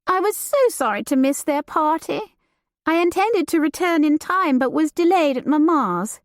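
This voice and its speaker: A flighty young noblewoman, playful and romantic, in a high and lively voice, put on by a woman reading the story aloud. She talks in a lilting, gossipy way. The recording is dry and close. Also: normal speed, no room sound. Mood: chatty.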